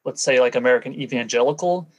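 A man's voice: medium pitch, fast talker, lo-fi sound. A man being interviewed as a guest, recounting and explaining things in a matter-of-fact, conversational way. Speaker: interview guest, former church member.

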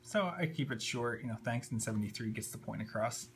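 Male hobbyist demonstrator, mid-pitched with vocal fry, offhand unscripted delivery, faint room noise. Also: animated, brisk. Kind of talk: casual unscripted tutorial narration. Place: indoors at a computer desk. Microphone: handheld camera, a little off the screen. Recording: faint background hum and noise.

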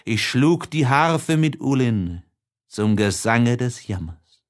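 A male audiobook narrator with a medium-pitched voice reads classic literature. He takes his time over each phrase, declaiming it solemnly with an elegiac tone. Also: expressive, slow.

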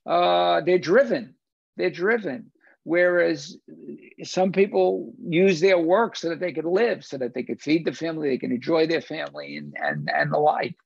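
A man's voice: fairly high pitch, moderate pace, vocal fry, darkish tone, slightly rough, no echo. An older man hosting a film discussion on a video call, emphatic and repeating phrases for stress. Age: older, 60s or 70s. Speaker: film discussion host and lecturer. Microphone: video call, computer at desk distance. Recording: dull lo-fi video-call audio.

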